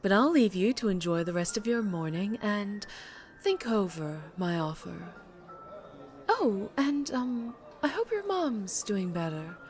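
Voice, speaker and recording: A woman playing a charming, menacing mafia boss in an ASMR roleplay, with a sultry, teasing, unhurried drawl. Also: mid-range voice, very animated, a little mellow, faint music behind. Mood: seductive, coaxing.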